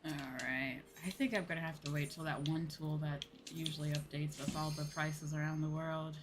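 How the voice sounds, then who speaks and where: A woman's voice: sits low, steady tone, moderate pace, background noise. A woman chatting casually on a livestream while she plays a game, musing aloud and repeating herself. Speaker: livestreamer playing an online game.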